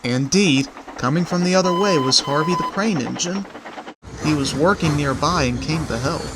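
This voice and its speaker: A man's voice: on the high side, moderate pace, background noise. A man narrating a scripted children's story in the third person. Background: background music.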